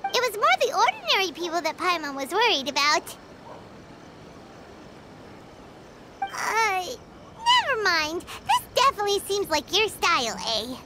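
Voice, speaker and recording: A woman's voice: huge pitch swings, moderate pace, background noise, full character voice. A woman voicing a tiny floating fairy girl companion who is chatty and blunt and speaks of herself in the third person. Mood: sheepish. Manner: high, bouncy, talks about herself in third person.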